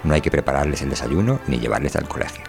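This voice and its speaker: A man narrates a scripted literary monologue in a low voice. His delivery is measured and wry, and music plays behind the reading. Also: lively intonation, gravelly. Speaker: voice performer reading an audio fiction.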